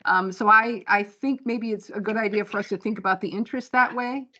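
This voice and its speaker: A woman's voice: mid-range voice, steady tone, brisk, sharp tone, lo-fi sound. A woman program director giving a practical, slide-by-slide financial report at a board meeting. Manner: plain, practical, walks through figures slide by slide.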